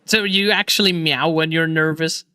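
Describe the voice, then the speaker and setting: Man, streamer host, casual chat, energetic, laughs a lot, bright tense voice, dry sound. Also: a little high, moderate pace, smooth, no room sound. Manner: energetic, laughs often, stumbles and restarts.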